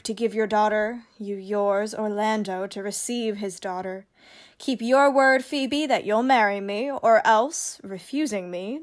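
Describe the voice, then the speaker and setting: A woman acting a commanding heroine disguised as a young shepherd in a Shakespearean radio play, speaking in measured, emphatic declamation. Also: fairly high pitch, natural voice.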